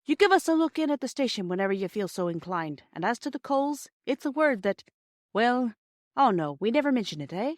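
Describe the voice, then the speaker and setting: A woman's voice: on the high side, big pitch swings, brisk, dry recording, clean studio sound, voice pushed up. A woman voicing a kindly, easygoing male railway station master in a storybook, with a hearty, avuncular manner.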